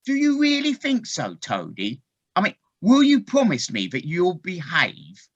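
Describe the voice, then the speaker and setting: A woman's voice: fairly low pitch, huge pitch swings, measured pace, breathy edge, full character voice. A woman playing a kindly, trusting, fretful water rat in an audio play, earnest and fussing, on dull lo-fi call audio. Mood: concerned, trusting. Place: living room, recorded over video call.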